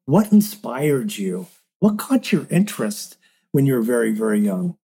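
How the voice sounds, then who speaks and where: A man's voice: mid-range voice, sing-song, measured pace, creaky, mellow, breathy edge. A man hosting a podcast, introducing and interviewing a guest. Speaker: podcast host.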